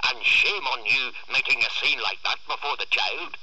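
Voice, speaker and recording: A man's voice: medium pitch, normal speed, slightly rough, dry recording, voice pushed up, rough recording. A man voicing a fierce, exasperated farm mother who snaps and scolds, in a storytelling reading. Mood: sharp, scolding. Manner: sharp, snapping.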